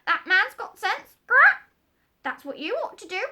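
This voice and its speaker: A woman voicing a proud, bossy female parrot who squawks and talks in a sharp, emphatic way. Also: very high-pitched, sing-song, a little slow, voice pushed up, heavily acted. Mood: squawky, bossy.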